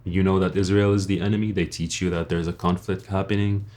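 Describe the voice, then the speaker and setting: A man's voice: low voice, level tone, fast talker, a little mellow. A young man from Lebanon speaking as a video creator in an earnest personal monologue, blunt and informal, often putting rhetorical questions.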